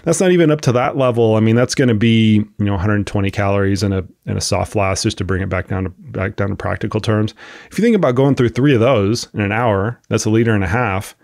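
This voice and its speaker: A man's voice: lowish voice, fast talker, creaky, a little mellow, no echo, studio quality. A man hosting a podcast with a cold and a sniffle, explaining at length in a casual, thinking-aloud way with frequent restarts. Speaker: podcast host, coach. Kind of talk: casual expert discussion.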